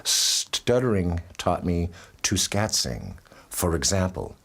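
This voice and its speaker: A man's voice: low-pitched, very animated, slow, creaky, fairly bright tone, husky. A middle-aged man who stutters, talking candidly in an interview, tired from touring and laughing often. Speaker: interviewee, musician. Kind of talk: relaxed interview talk.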